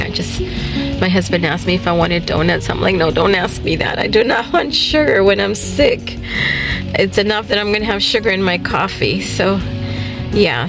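A woman in her fifties, vlogging casually from her car and recovering from a sore throat, her voice deeper than usual. She rambles and laughs often. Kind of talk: casual vlog chat. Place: inside a parked car. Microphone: phone held at arm's length.

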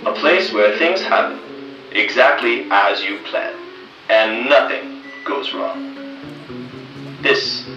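A young man delivering solemn mock-suspense narration, measured, with dramatic pauses. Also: mid-range voice, expressive. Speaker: host narrator. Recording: dull, echoey, slightly distant, music behind.

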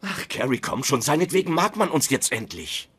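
An actor playing an easygoing, boyish husband eager to be liked in a dubbed sitcom, with a pleading, playful manner. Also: male voice, on the high side, very animated, normal speed, fairly bright tone, breathy edge, exaggerated voice. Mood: cheerful, defensive.

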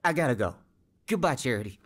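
A man's voice: medium pitch, sing-song, quick pace, close to an everyday voice. An actor playing a sweet, earnest young churchgoing man in an audio drama, openly emotional. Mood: heartbroken, pleading.

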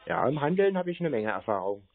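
A man's voice: medium pitch, big pitch swings, fast talker, creaky. A man in a casual phone conversation, teasing and questioning the person on the other end. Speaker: prankster taking scam calls. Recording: narrow-band phone call audio.

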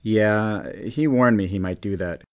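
A man's voice: on the low side, unhurried, clean tone, dull audio, lightly acted. A man playing an affectionate, playful simulated astronaut father in a science fiction audio drama. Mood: gentle.

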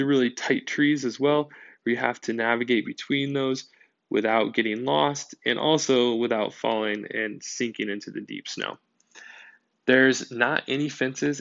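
A man with a gravelly, creaky voice walks through a lesson over a drawing tablet, steady and explanatory. The sound is dry and close, a little muffled, like a voice-over with no room around it. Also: on the low side, no echo. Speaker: teacher. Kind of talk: whiteboard lesson narration.

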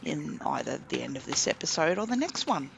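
A man's voice: a little high, brisk, vocal fry, background noise. A man casually commentating a survival game, thinking aloud as he plays. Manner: relaxed, chatty, thinking aloud while playing. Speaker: gaming commentator. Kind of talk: casual let's play commentary.

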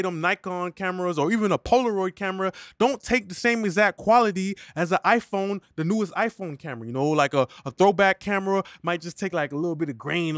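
A man's voice: sits high, fairly bright tone, no echo. A young man teaching vocal mixing in a casual, slangy, rambling way, talking into a studio condenser mic in his home studio. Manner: loose, slangy, rambling explanations. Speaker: mixing engineer and music teacher. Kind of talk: casual tutorial talk. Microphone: studio condenser microphone.

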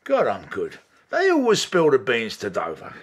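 An actor in a radio drama playing a rude, cynical chief inspector, blunt and gruff in manner. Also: male voice, medium pitch, wildly swooping pitch, moderate pace. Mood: cynical, brash.

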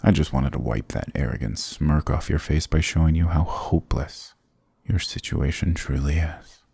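A man's voice: normal speed, darkish tone, no room sound, heavily acted. A man voicing a dominant, sarcastic demon king in an ASMR roleplay, teasing and menacing, close to the mic. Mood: smug, dismissive. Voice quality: very deep, gravelly, husky. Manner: sarcastic, teasing, menacing purr with low chuckles. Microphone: close, dry microphone.

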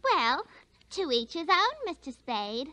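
An actress playing a breezy, scatterbrained secretary who mangles fancy words in a 1940s radio comedy-drama. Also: female voice, sits high, very animated, slow pace, sharp tone, dry recording, heavily acted. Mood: breezy, chatty. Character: chatty, scatterbrained female temp secretary, much-married, breezy. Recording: 1940s radio broadcast recording.

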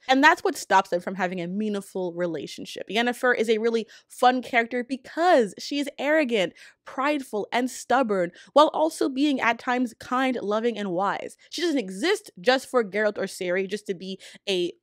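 A woman's voice: mid-range voice, no room sound, clean studio sound. A woman in her thirties giving informal, emphatic, opinionated commentary as a video essayist. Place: small room at home. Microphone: close desk microphone on arm. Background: background music.

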